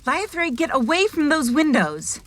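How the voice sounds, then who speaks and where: A woman's voice: sits high, sing-song, normal speed, noisy background. A woman playing an anguished, fragile mother in a fantasy audio drama, fraught and emotional. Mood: urgent.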